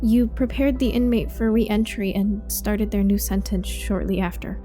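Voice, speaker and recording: Woman acting a dark-voiced, earnest young trainee. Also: mid-range voice, moderate pace, soft background music, natural voice. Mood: hesitant. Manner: polite, tentative, questioning. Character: earnest, quietly sympathetic female prison trainee. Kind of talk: audio drama acting.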